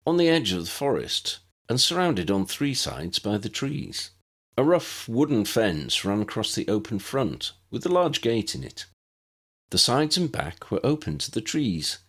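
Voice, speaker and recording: A man's voice: low-pitched, a bit bright. A man reading scripted story narration as an audiobook narrator.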